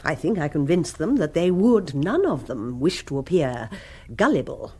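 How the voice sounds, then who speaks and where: An imperious, self-satisfied queen of village society in a radio comedy. Her upper-class English voice swoops theatrically with a breathy, husky edge, dropping little Italian endearments as she goes. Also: deep voice, sing-song, moderate pace, darkish tone, full character voice. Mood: imperious, scheming. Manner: theatrical, affected, sprinkles speech with Italian endearments. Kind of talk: radio comedy drama acting.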